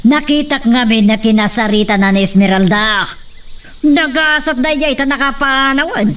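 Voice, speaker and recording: A woman's voice: high voice, normal speed, fairly bright tone, recorded from a distance, slight background noise. A woman playing a haughty, harsh matriarch in a radio drama, commanding and scolding. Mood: angry, imperious.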